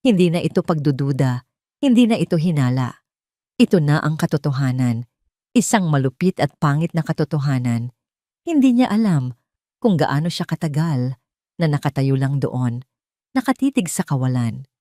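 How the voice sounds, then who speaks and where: Female Filipino storyteller for audio drama; lowish register, highly animated pitch, dry close sound. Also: moderate pace, long pauses, dry recording.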